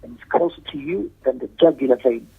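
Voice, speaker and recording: A man's voice: medium pitch, expressive, a little fast, slightly rough. A man teaching a religious lesson in an emphatic manner, explaining a text and repeating phrases for stress. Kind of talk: Islamic lecture, explaining a text with Arabic recitation and quotations. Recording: tinny, narrow phone-like lecture audio.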